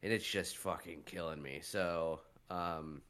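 A man's voice: low voice, fairly even intonation, a little slow, a bit bright. A man co-hosting a casual podcast while stuffed up and nasal with a cold, rambling with lots of fillers and swearing. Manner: rambling, full of "like", swears freely. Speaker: podcast co-host.

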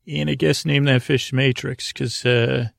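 Very gravelly, creaky man rambling softly off-topic. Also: mid-range voice, level tone, measured pace, dry recording, studio quality. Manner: meandering, hesitant, silly, trails off mid-sentence. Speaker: podcast host. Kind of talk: rambling bedtime monologue to help listeners fall asleep.

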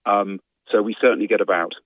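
A man speaking for a trade guide as a radio guest, earnest and measured, with stumbling starts. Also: lowish voice, fairly even intonation, no echo, phone-quality sound. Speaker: industry guide representative, guest. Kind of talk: radio interview.

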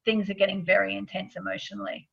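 A woman's voice: medium pitch, completely flat delivery, fast talker, creaky, a bit bright, muffled recording. A woman speaking as an interview guest, explaining things in a casual, informal, conversational way. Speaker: astrology author, interview guest.

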